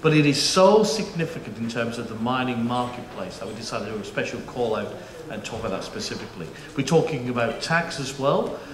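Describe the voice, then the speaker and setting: A man's voice: mid-range voice, expressive, a little fast, few pauses, a crowd in the background. Chatty man in an echoey auditorium. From United Kingdom. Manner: chatty, jokey, frequent restarts and fillers. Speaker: panel moderator. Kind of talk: conference presentation. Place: conference auditorium. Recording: echoey auditorium, faint room noise.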